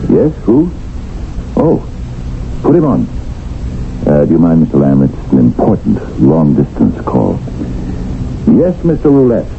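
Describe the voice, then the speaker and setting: A crafty businessman speaks low with formal, condescending politeness. His delivery is very animated and smug. The vintage radio drama sound is narrow and phone-like, with faint hiss. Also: measured pace, long pauses, exaggerated voice. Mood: businesslike, eager. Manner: smooth formal phrasing, condescending. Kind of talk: 1970s radio drama acting.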